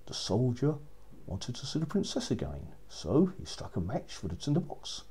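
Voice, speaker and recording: A man narrating a children's story as a storyteller, with expressive, dramatic delivery. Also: mid-range voice, moderate pace, slightly rough.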